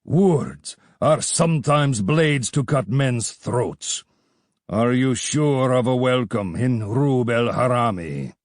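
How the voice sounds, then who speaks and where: A man voicing a gruff, suspicious Afghan bandit chief with a bearded, growling manner. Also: medium pitch, lively intonation, slow pace, no room sound, clean studio sound. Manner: growling, curt.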